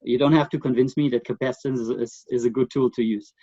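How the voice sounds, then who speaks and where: A man's voice: mid-range voice, fairly even intonation, dark tone, husky, no echo. German-accented man, muffled call audio. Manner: thoughtful, halting, with many fillers and restarts. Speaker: guest expert. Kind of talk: remote interview.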